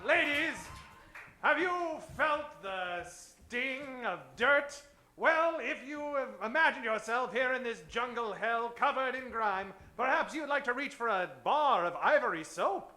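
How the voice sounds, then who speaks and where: A man's voice: very high-pitched, expressive, unhurried, bright, forceful tone. A man narrating an old-time radio show in a theatrical, mock-dramatic announcer style.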